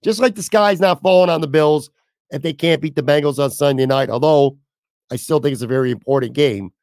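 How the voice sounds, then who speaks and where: A man hosting a solo sports podcast, hoarse as he loses his voice, blunt and casual with some swearing. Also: on the high side, fairly quick, darkish tone, dry recording, clean studio sound. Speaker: podcast host.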